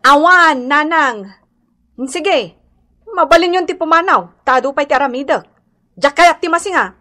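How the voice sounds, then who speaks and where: Stingy daughter-in-law, very high voice, animated swings, curt, dismissive, Ilocano accent, radio comedy drama. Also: female voice, a little slow, exaggerated voice. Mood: irritated, dismissive.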